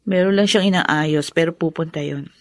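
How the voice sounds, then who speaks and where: An actress playing an emotional, aggrieved young wife in a Filipino radio drama, with an emotive, complaining manner. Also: female voice, sits low, moderate pace, dry recording, clean studio sound, lightly acted. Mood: resentful.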